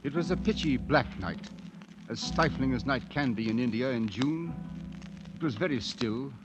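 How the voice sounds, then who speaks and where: A man's voice: medium pitch, animated, moderate pace, loud music behind the voice, natural voice. An actor playing a skeptical English newspaperman in a 1950s radio drama, with an educated, dramatic delivery. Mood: brooding. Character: weary, skeptical English newspaperman narrating his tale. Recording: dull vintage radio recording, long reverb.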